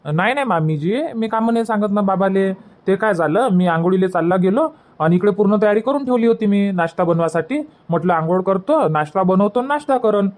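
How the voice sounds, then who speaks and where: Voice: medium pitch, lively intonation, fairly quick, a little mellow, natural voice. An actor voicing a put-upon young village son in a cartoon comedy, with a Varhadi Marathi accent and a whining, self-justifying manner. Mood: defensive. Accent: Varhadi Marathi from Vidarbha.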